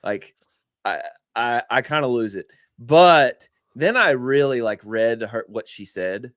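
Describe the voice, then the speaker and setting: A man's voice: medium pitch, animated, slow pace, a bit bright, no echo, studio quality. A married man co-hosting a podcast, wry and teasing in casual chat, keen on a good argument. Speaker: podcast co-host.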